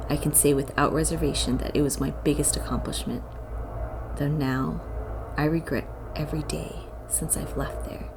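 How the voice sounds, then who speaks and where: A woman's voice: deep voice, background noise. A woman playing a young college student of the occult, narrating her story in a wry, world-weary, confiding way for an audio drama. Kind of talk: first-person scripted audio drama narration.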